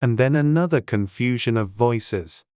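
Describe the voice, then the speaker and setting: A man narrating a classic children's story as an audiobook reader, measured and gentle. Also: medium pitch, expressive, clear, resonant tone, no room sound, clean studio sound.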